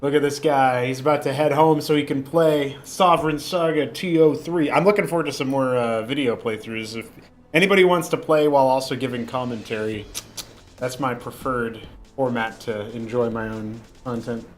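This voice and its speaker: A man's voice: medium pitch, normal speed, soft background music. A young man chatting casually on a livestream, rambling with fillers and restarts, with a lingering cough. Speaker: streamer.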